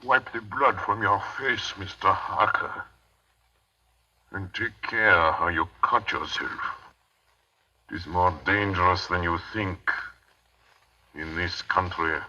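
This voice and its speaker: A man's voice: low-pitched, takes it slowly, long pauses, bright, forceful tone, exaggerated voice. An actor playing a sinister, courtly vampire nobleman in a 1930s radio drama, menacing and politely formal. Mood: quietly menacing. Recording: muffled, noisy 1930s radio recording.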